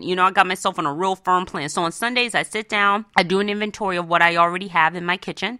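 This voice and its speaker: Bright-voiced woman hosting radio. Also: on the low side, no echo, clean studio sound. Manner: emphatic, repeats phrases for emphasis. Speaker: radio show host. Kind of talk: conversational motivational money advice.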